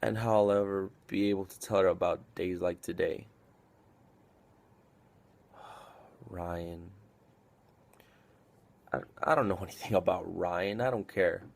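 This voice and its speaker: A man's voice: sits low, fairly even intonation, slow pace, creaky, long pauses, heavily acted. A man playing a weary, reflective army captain in a film drama monologue, subdued, hesitant and confessional.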